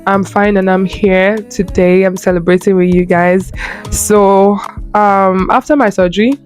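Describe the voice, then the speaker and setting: A young woman in her early twenties with a Nigerian Igbo accent, whose first language is Igbo, talks warmly and earnestly about her own past. She chats in a loose, personal way, full of fillers and self-corrections. Soft music plays faintly behind her. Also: medium pitch, fairly even intonation, unhurried. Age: early 20s. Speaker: lifestyle creator. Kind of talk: personal storytelling to camera.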